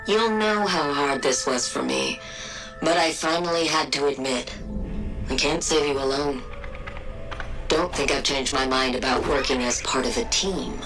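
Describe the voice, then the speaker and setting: Game cutscene: a calm, clinical scientist, very deep for a woman, heard a bit far off, loud music. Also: a little slow. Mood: tender. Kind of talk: video game cutscene acting.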